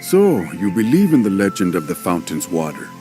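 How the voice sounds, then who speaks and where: An actor playing a grave, skeptical, worldly companion to an adventurer in a video game, measured and dry. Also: male voice, deep voice, huge pitch swings, warm tone, soft background music. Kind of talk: dramatic video game voice acting.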